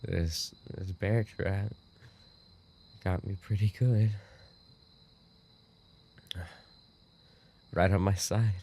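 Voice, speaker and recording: Guy doing a dying yandere roommate for asmr roleplay, lowish gravelly voice, really slow n halting, full of regret, crickets behind. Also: fairly even intonation, mellow, slight background noise, heavily acted. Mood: resigned, tender. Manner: halting, broken phrases with repeated words. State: weakened, dying from an injury. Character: dying young male yandere roommate, obsessive and remorseful. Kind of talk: boyfriend ASMR audio roleplay acting.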